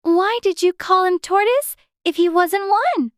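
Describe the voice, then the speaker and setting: A storybook narrator voicing a curious, polite little girl who is eager, prim and quick to take offence. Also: extremely high pitch, a bit bright, dry recording, studio quality, voice pushed up.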